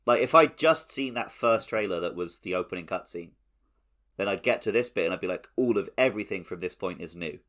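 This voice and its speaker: A man's voice: sits low, animated, a little fast, a bit bright. A man chatting informally and animatedly as a podcast host. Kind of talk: casual podcast chat.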